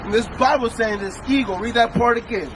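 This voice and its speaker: A man's voice: very high voice, fairly quick. A man from El Salvador preaching outdoors on a city street, emphatic and full of rhetorical questions. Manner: emphatic, rhetorical questions, commanding scripture reads. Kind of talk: street sermon. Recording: street traffic noise.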